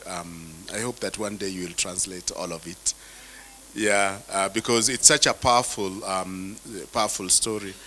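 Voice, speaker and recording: A man's voice: low-pitched, steady tone, normal speed, sharp tone, a little hiss or hum. A man hosting a workshop discussion on a video call, encouraging and emphatic.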